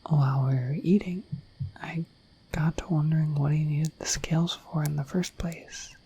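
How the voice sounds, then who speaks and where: A woman voicing a shy, friendly dragon in a breathy whispered ASMR roleplay, rambling gently and hesitantly to the listener. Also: low-pitched, steady tone, normal speed, slight background noise. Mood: musing, gentle. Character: shy, friendly, dorky dragon in humanoid form.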